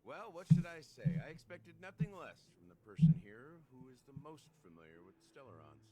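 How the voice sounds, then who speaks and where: An actor playing an enigmatic older game character, a sly rebel who talks in a measured, theatrical, wry way. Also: male voice, mid-range voice, very animated, dark tone, lightly acted. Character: enigmatic older man, sly rebel revealing his secrets. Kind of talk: video game story dialogue. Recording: music behind the voice.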